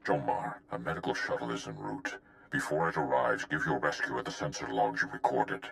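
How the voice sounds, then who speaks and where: Very deep, gravelly Jedi master. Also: male voice, moderate pace, a bit bright, big-room sound, heavily acted. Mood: solemn, commanding. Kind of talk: video game cutscene acting.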